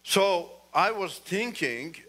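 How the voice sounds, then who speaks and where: Bright-voiced man. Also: high voice, animated, takes it slowly. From Iran. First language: Turkish. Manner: earnest, repeats "you know", rises emphatically. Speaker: guest speaker. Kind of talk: testimony talk in church.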